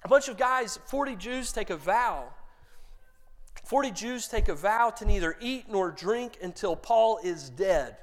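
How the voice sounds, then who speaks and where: A man preaching a sermon, retelling a story with lively quoted dialogue. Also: high voice. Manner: animated retelling, quotes characters in his own voice. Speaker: pastor.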